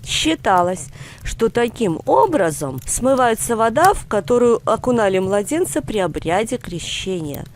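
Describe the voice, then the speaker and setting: A woman's voice: on the high side, big pitch swings, a little slow, slight background noise. Storybook grandfather, read by a woman: older, patient, wry explainer, varied intonation. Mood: explaining.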